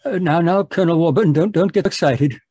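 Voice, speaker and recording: Man acting a husky, high-voiced, bumbling gentleman doctor. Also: fast, no echo. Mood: concerned, polite. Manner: bumbling, hesitant, proper. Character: genial, rambling, fussy gentleman doctor narrating his adventure. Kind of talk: 1940s radio mystery acting. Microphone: video call. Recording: live performance over video call.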